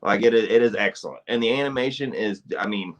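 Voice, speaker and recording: A man's voice: lowish voice, fast talker. Profane, rambling podcast guy. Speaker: podcast co-host. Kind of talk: casual podcast chat.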